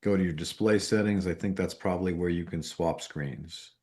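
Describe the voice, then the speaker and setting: A man on a town board, speaking at a public meeting in a halting, helpful way as he guides someone through a problem. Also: low-pitched, dark tone. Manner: halting, helpful, guiding through tech trouble.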